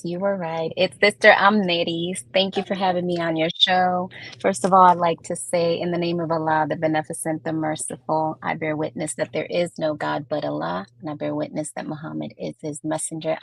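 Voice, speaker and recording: A woman from Puerto Rico, a guest on a podcast, answering questions in a measured, explanatory way. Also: lowish voice, vocal fry, no room sound. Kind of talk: conversational interview answers.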